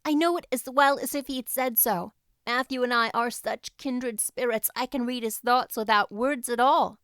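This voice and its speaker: Plucky storybook girl voiced by a woman, confident, brisk and earnest, raised pitch, crisp tone. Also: fairly bright tone, dry recording, close to an everyday voice. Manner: brisk, earnest, take-charge. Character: confident, capable, talkative eleven-year-old girl heroine.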